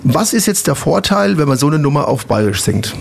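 A man's voice: a little high, very animated, a little fast, vocal fry, slight background noise. A man hosting a casual radio interview. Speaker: radio host.

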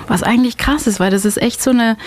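A woman's voice: fairly high pitch, quick pace. A woman hosts a community radio programme from a studio. German is not her first language, and she talks in a hesitant way with many fillers and restarts as the chat goes along. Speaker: radio host.

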